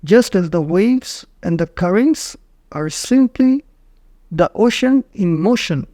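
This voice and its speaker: A man narrating a guided meditation in short, measured phrases with frequent pauses. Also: high voice, expressive, mellow, dry recording, studio quality.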